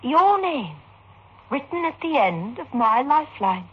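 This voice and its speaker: High, dramatic wife, muffled recording. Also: female voice, sing-song, measured pace, husky, full character voice. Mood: puzzled, wondering. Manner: melodramatic, emphatic, theatrical. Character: frightened, accusing wife of a doctor. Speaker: radio drama actress. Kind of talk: 1960s radio horror acting. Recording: muffled, noisy old radio recording.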